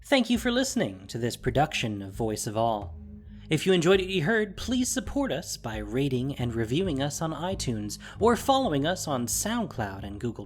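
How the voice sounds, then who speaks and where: Expressive audio drama narrator. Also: medium pitch. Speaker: podcast narrator and producer.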